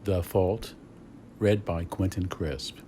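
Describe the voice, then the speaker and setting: A man's voice: low voice, fairly even intonation, slow, heavy background noise. A man working as presenter, introducing poetry readings and guests to viewers. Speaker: presenter and archivist.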